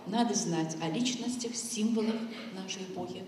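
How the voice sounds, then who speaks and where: A woman's voice: medium pitch, moderate pace, a crowd in the background. A woman from Chuvashia giving an informal, heartfelt commemorative speech to an audience, wandering between thoughts and addressing her listeners directly. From Chuvashia, Russia. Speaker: guest speaker at a school commemoration. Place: school hall stage, indoors. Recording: very echoey hall, distant mic, faint noise.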